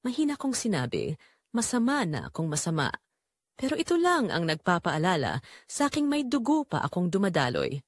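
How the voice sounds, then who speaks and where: A woman with a Filipino accent narrating a scripted drama in the first person, measured and emotive with pauses. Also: lowish voice, wildly swooping pitch, no room sound, clean studio sound. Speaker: storyteller.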